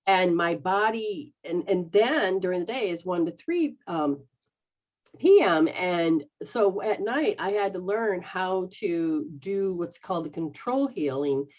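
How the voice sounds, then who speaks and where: A woman's voice: on the low side, animated, unhurried, dull audio. A middle-aged woman, a metaphysical practitioner, explaining healing techniques in a rambling, casual way and checking that she is understood. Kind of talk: casual instructional chat.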